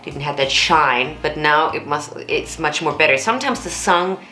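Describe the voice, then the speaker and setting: A woman with a low alto voice, giving blunt, candid and expressive reaction commentary as a vocal coach. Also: moderate pace, echoey room, faint music behind. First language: German.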